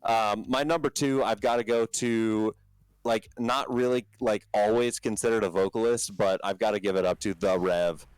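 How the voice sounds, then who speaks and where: A man with a low talking voice, a band's vocalist as a podcast guest, chatting casually and rambling with many fillers and restarts. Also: fairly even intonation, moderate pace, smooth, no room sound, rough recording.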